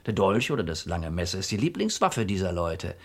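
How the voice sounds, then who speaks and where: Low-voiced, gruff police commissioner. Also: male voice, very animated, exaggerated voice. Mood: stern, deliberate. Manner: emphatic, deliberate, lecturing. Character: gruff, exasperated police commissioner, male, shrewd and blunt. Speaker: actor. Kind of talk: 1970s German radio play acting.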